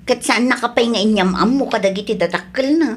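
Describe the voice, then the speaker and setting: A woman's voice: on the high side, brisk, close to an everyday voice. A woman playing a blunt, nosy character in an Ilocano radio comedy drama, speaking with an Ilocano accent. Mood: teasing, nosy.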